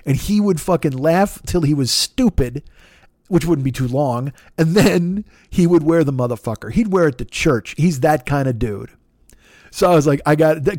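A man hosting a podcast, telling a long comic story in a rambling, animated way, cursing constantly and acting out the exchanges he quotes. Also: on the high side, no room sound, studio quality. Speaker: podcast host. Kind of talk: profane comedic storytelling.

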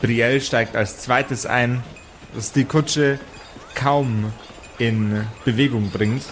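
A man narrating an improvised tabletop game as game master, in a deliberate way with pauses. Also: medium pitch, fairly even intonation, slight background noise. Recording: music under the voice. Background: music.

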